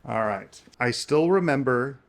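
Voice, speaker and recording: A man in his thirties with an average-pitched voice chats casually as co-host of a book game. He comes across as easygoing and joking, trading loose banter. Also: expressive, unhurried.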